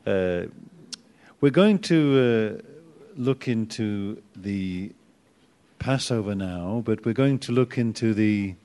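A man's voice: lowish voice, lively intonation, slow pace, mellow. A man teaching an informal Bible class, chatty and self-deprecating, with sudden bursts of emphasis.

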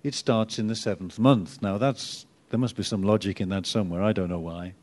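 A man's voice: on the low side, fairly even intonation, fairly quick, darkish tone. A man teaching an informal Bible class, chatty and self-deprecating, with sudden bursts of emphasis.

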